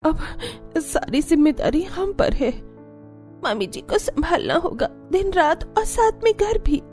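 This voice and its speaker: A woman's voice: very high-pitched, very animated, a little slow, a little mellow, faint music behind, heavily acted. A woman playing a wistful young daughter-in-law in a cartoon story. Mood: earnest, resolute. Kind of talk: Hindi cartoon story acting.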